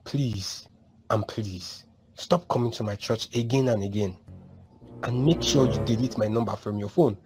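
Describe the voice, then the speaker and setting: A man's voice: lowish voice, normal speed, background noise, lightly acted. A man acting a weary pastor in a comedy skit, curt and fed up. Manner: blessing at first, then curt and fed up. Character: weary pastor, losing patience. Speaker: comic actor.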